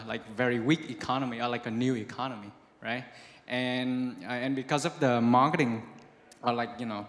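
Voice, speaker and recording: A man with a medium-pitched voice puts a question at a conference. He talks informally and loosely, padding phrases and checking that listeners follow. His voice rings in a big reverberant hall. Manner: rambling, filler-heavy, often seeking agreement. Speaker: audience member asking a question. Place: large conference event hall.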